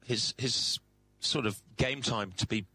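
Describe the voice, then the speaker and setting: A man's voice: mid-range voice, slow pace, bright, forceful tone, a little hiss or hum. A man with an English accent co-hosting a sports radio show, agreeing often and adding analysis. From England. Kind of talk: casual sports chat.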